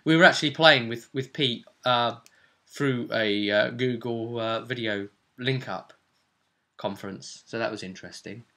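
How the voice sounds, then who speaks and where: A man's voice: mid-range voice, measured pace, sharp tone. A man giving a casual, rambling recap of a tabletop role-playing session as the game master.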